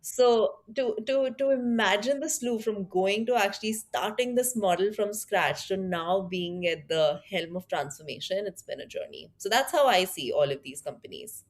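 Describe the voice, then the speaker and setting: A woman's voice: mid-range voice, lively intonation, moderate pace, hardly any pauses. A woman from India with an Indian accent, speaking thoughtfully as an interview guest, with fillers and restarts. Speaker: guest, tech product leader. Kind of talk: conversational interview.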